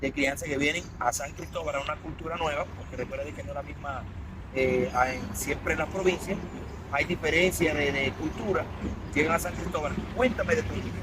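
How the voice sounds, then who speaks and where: A man hosting an interview, introducing his guest in an animated, promotional way. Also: fairly high pitch, fairly quick. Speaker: interview host. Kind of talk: conversational interview. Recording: outdoor traffic noise.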